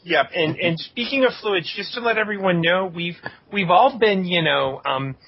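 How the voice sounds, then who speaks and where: A man co-hosting a podcast with casual banter, halting and repeating words as he goes. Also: animated. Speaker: podcast co-host. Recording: dull lo-fi audio with rumbling noise.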